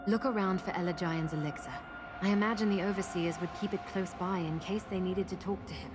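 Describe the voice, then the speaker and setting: A woman's voice: medium pitch, close to an everyday voice. Woman as earnest adventuring companion, music behind. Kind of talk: fantasy video game voice acting. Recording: game music under the voice.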